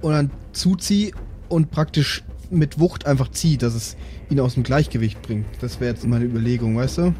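Mellow-voiced man with southern German-Austrian accent. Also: medium pitch, moderate pace, a little hiss or hum. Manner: thinking aloud, seeking agreement with tag questions. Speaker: player in a role-playing game podcast. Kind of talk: casual table talk.

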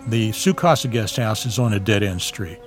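A man's voice: lowish voice, expressive, moderate pace. A man, a former embassy security agent, telling events in a direct, vivid first-person way. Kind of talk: recounting events in an interview. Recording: clear music bed underneath.

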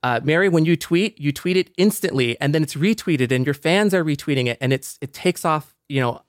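A man's voice: fairly high pitch, brisk, no room sound, clean studio sound. A man chatting casually as a guest, telling things in an emphatic way with repeated words for stress. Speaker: panel guest, former blogger. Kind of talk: casual chat.

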